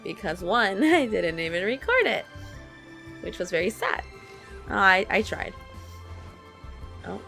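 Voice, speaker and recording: A woman streaming a game with casual reaction commentary, slangy, excitable and quick to swear. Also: mid-range voice, gravelly, faint music behind.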